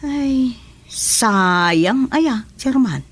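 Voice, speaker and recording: A woman's voice: a little high, animated, extremely slow, slightly rough, full character voice. A chatty Ilocano village woman. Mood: curious. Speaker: actor in a radio serial. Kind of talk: Filipino radio comedy-drama acting.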